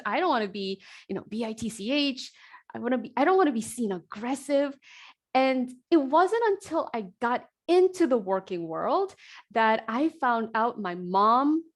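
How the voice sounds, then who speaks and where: A woman in her forties with a voice pitched a little high hosts an online coaching call. She talks in a lively, casual way, full of "like" and "uh", into a computer microphone. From South Korea, raised in New Jersey. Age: 40s. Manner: energetic, conversational, frequent fillers and likes. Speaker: executive coach, host.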